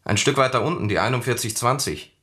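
An actor playing a gruff but helpful suburban neighbor in a naturalistic radio drama. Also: male voice, medium pitch, fast talker, bright, forceful tone. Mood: gruff, cooperative.

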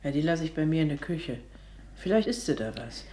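An actress in a German radio play as a practical housekeeper, with a solicitous, homely manner. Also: female voice, deep voice, animated, brisk, warm tone, reverberant, slight background noise. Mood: caring, fussing. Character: caring, practical housekeeper looking after a troubled family. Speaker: radio drama actor.

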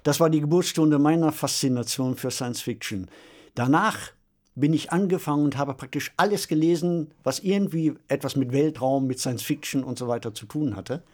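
A man's voice: a little high, normal speed, a little mellow. A man in his sixties presenting a podcast conversationally, explaining and recounting things like a storyteller. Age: 60s. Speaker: podcast co-host; science fiction author.

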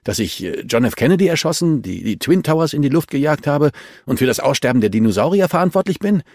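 A man's voice: a little high, big pitch swings, fast, a little mellow, no echo, studio quality, voice pushed up. A man voicing an arrogant, cornered male murder suspect in a crime audiobook, snapping with defiant indignation. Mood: outraged.

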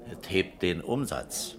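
An actor playing a plain-spoken, wry pub landlord in a radio play, talking in a folksy colloquial way. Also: male voice, sits low, fairly bright tone, heavily acted. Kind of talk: German radio play dialogue.

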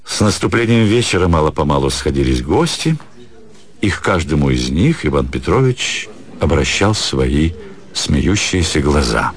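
A man narrating a literary story for radio in a measured, expressive storytelling manner. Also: rumbling low. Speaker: narrator, actor reading literary prose. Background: music under the voice.

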